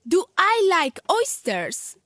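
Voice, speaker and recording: High-pitched teen girl acted by a woman, feisty, sarcastic, exclamatory, close dry sound, audio drama. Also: huge pitch swings, slow pace, bright, forceful tone, no room sound, heavily acted. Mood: indignant, sarcastic. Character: feisty, indignant fifteen-year-old girl painter.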